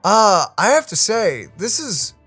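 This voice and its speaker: A woman's voice: mid-range voice, big pitch swings, a little slow, fairly bright tone. A woman doing casual game commentary, reacting openly and emotionally as she plays. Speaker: gaming streamer. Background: game music.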